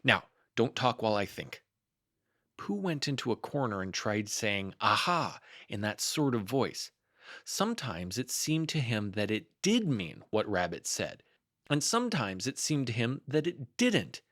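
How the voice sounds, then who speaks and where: A male storyteller reads a children's book aloud. His delivery is expressive, with very lively intonation at a middling pitch, and the sound is dry. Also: a little slow, dry recording.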